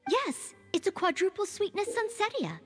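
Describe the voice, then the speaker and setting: A woman's voice: extremely high pitch, very animated, normal speed. A woman voicing an eager, polite young maid knight in a video game, with a youthful tone and courteous, dutiful delivery. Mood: earnest. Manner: courteous, earnest, enthusiastic. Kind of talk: video game character dialogue. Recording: reverberant, with music behind.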